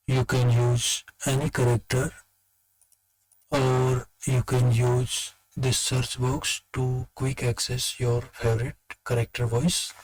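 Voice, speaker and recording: A man narrating a software tutorial step by step, in a plain, deliberate instructional way. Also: mid-range voice, completely flat delivery, low-quality recording. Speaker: software demonstrator.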